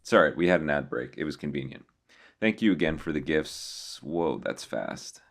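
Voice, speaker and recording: Very deep-voiced man reacting casually. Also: lively intonation, normal speed. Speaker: host reacting to horror videos.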